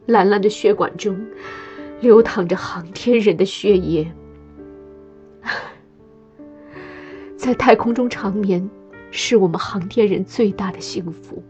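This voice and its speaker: A woman's voice: mid-range voice, slow pace, pauses often, darkish tone, faint music behind, lightly acted. An actress playing a grieving, resolute mother in a radio drama, speaking in a solemn, pleading, emotional way. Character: grieving, resolute mother urging her brother to sacrifice.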